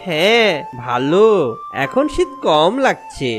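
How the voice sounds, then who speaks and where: A woman voicing a simple young village boy in an animated cartoon. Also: fairly low pitch, takes it slowly. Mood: humble. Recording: music behind the voice. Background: background music.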